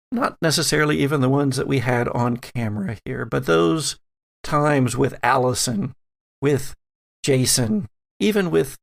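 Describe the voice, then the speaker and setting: A man working as game master, narrating a tabletop horror game in a measured way with dramatic pauses. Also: medium pitch, creaky.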